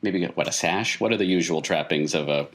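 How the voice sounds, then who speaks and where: An American man from small-town Maine improvising tabletop game narration as game master, building each scene in halting steps. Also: deep voice, over a noisy crowd.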